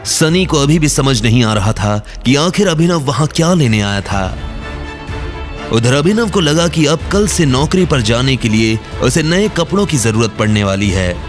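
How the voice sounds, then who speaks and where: Mid-pitched male audio story narrator. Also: lively intonation, moderate pace, music in the background.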